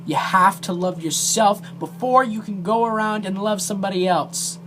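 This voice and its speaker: Amateur vlogger, mid-pitched voice, heartfelt and halting delivery, clear noise on a homemade recording. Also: a bit bright. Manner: earnest, emotional, hesitant. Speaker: amateur video creator. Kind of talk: earnest direct-to-viewer vlog talk.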